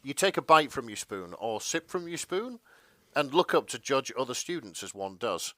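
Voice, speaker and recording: A man's voice: medium pitch, big pitch swings, moderate pace, pushed and bright, no room sound. A man co-hosting a podcast in casual comedic chat, sounding bemused and a little hesitant. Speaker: podcast co-host.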